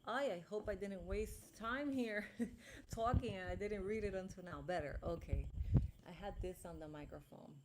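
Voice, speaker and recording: A woman's voice: mid-range voice, normal speed, heavy background noise. A young woman chatting on a livestream, rambling, with many restarts and fillers, into a mic she holds close. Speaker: vlogger. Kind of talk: casual livestream chat. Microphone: clip-on mic, later held closer.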